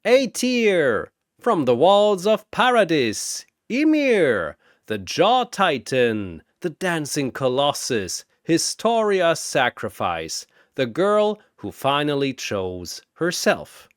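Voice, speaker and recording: Man acting a bombastic cosmic arena announcer, swooping pitch. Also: very animated, no echo, studio quality. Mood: bombastic, showy. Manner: theatrical, drawn-out ring-announcer delivery.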